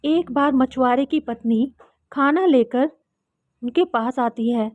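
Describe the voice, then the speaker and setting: A woman's voice: sits high, takes it slowly, no echo, muffled recording. A woman reading scripted narration for a children's cartoon story.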